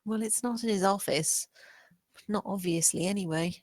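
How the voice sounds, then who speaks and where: Woman acting a quietly determined young maid, dry sound. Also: mid-range voice, moderate pace, no room sound, natural voice. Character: Grace, bold, secretly scheming young maid. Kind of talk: screenplay table read.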